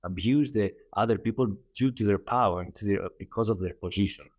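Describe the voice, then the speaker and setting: A middle-aged man from Greece with a Greek accent, a coach answering interview questions earnestly, often repeating himself and pausing on fillers. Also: on the low side, a little mellow, no echo. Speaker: guest, holistic coach.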